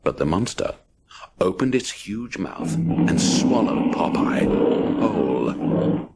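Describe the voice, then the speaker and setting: Deep, theatrical male storybook narrator. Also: very animated, slow pace, vocal fry, heavy background noise. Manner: punchy, theatrical sound-effect words. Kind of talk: dramatic children's story narration.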